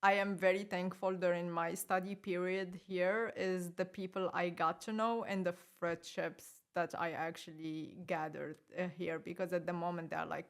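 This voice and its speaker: A woman from Morocco, a podcast guest talking casually, with lively emphasis and frequent laughter. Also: lowish voice, normal speed, vocal fry, hardly any pauses. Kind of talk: casual interview chat.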